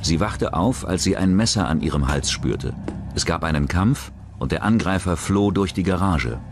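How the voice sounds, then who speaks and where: A man's voice: low voice, lively intonation, normal speed. A man narrating a scripted true-crime documentary. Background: background music.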